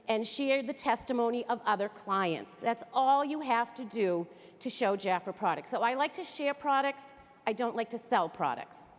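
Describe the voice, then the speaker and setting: A woman from the area, giving an upbeat, chatty training talk to an audience. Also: a little high. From Greater Boston area. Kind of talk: enthusiastic stage presentation. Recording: echoey hall.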